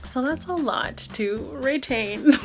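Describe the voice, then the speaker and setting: A woman's voice: high voice, slow, darkish tone, soft background music. A woman, an opera singer, talking to camera in a chatty vlog style and laughing often.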